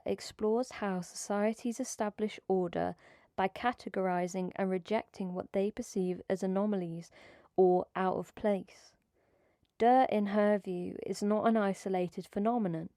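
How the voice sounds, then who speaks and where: A woman with a warm, mellow voice delivers scripted academic narration, measured and even. The sound is close and dry, with no room around it. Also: mid-range voice, no echo. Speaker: video essay narrator.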